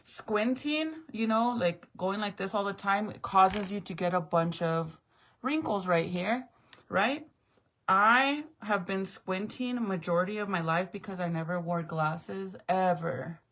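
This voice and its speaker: A woman's voice: medium pitch, unhurried, a bit bright. A woman in her late thirties chatting casually and frankly with livestream viewers, rambling from topic to topic. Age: 30s. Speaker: livestream host.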